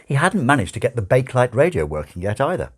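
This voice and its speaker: A man narrating a story as an audiobook reader, with a wry, measured and expressive delivery. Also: mid-range voice.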